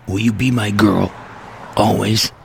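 Man acting a faltering, dying gentleman. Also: lowish voice, steady tone, a little slow, gravelly, a little hiss or hum, pitched above the performer's own voice. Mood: weak, loving. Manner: stammering, gasping, faltering. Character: badly injured gentle young man, dying, lovestruck.

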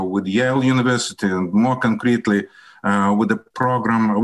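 An older man with a Russian accent, a professor answering interview questions in a reflective, hesitant way with many pauses and fillers. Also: fairly low pitch.

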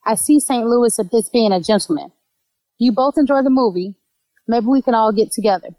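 A woman's voice: on the high side, normal speed, mellow, dry recording, lightly acted, rough recording. A woman playing a friendly, polite young woman out on a date in an audio drama.

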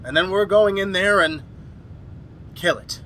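Man acting an earnest, bright-voiced scientist in horror radio. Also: high-pitched, a little slow, a little hiss or hum. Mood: grave, urgent. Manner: dramatic, exclamatory. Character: earnest, agitated male scientist doctor, grimly resolute. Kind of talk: 1930s-style horror radio drama acting.

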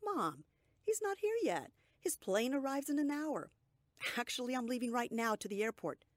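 A woman's voice: a little high, big pitch swings, moderate pace, dry recording, pitched above the performer's own voice. A woman voicing her worried adult daughter, speaking up in a raised, insistent tone. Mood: matter-of-fact.

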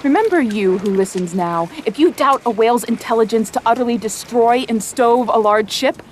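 Higher-pitched seafaring storyteller, played by a woman. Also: moderate pace, slight background noise, natural voice. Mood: storytelling. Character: grave, wry seafaring narrator of a whaling tale. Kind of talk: dramatic scripted narration.

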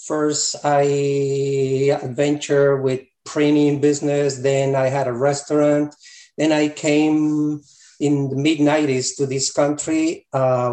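A man's voice: a little high, mellow. A middle-aged man from Colombia with a Colombian Spanish accent, answering interview questions about his repair shop in a hesitant way with many fillers. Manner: hesitant, many fillers and restarts, loose grammar. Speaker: repair shop owner, guest. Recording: echoey room.

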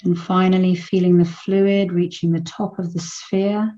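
A woman leading a guided meditation in a soothing, unhurried manner with long pauses between phrases. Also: fairly low pitch, little pitch movement, warm tone, highs cut off.

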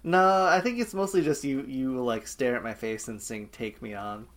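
A woman's voice: very deep voice, normal speed. A woman co-hosting a podcast who reacts with teasing jokes in a casual chat. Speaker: podcast co-host.

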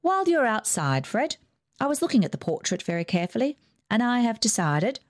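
Brief: a high-pitched, imperious, wealthy aunt, voiced by a female reader for a storybook. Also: very animated, fairly quick. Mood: brisk. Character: wealthy bossy older woman aunt.

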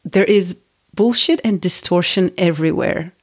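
Woman in her 40s from turkey, podcast host, lowish voice, musing and chatty, lots of restarts. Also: normal speed, slightly rough. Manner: reflective, conversational, many restarts and fillers. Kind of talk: personal storytelling monologue.

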